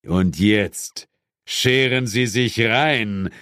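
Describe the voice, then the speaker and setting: A man puts on a stiff, stern male boss in a horror-comedy audiobook. The delivery is formal and reproving, slowly measured, with a dry sound. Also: lowish voice, a bit bright, no room sound.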